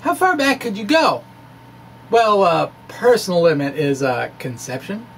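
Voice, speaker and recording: Middle-aged man, high voice, unhurried theatrical delivery, emphatic, science presenter, slightly noisy recording. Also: big pitch swings, gravelly. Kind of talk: dramatic reading of a physics blog with informal asides.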